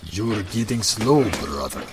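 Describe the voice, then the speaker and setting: A man's voice: on the low side, big pitch swings, measured pace, noisy background. A man playing a teasing, laid-back fighter in an audio drama.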